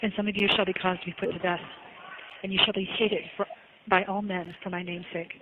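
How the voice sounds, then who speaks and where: A muffled phone line with plenty of noise carries a woman's even, mid-pitched voice. She speaks earnestly and devotionally, moving between chat and verses read aloud as testimony. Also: brisk. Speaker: jail inmate making a phone call. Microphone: telephone handset. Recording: noisy, muffled recorded jail phone call.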